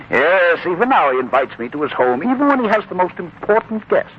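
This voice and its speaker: An actor playing a proud, loyal, warm-hearted blacksmith father in a theatrical 1940s radio drama style. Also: sits high, sing-song, moderate pace. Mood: proud, firm. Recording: muffled, noisy 1940s radio recording.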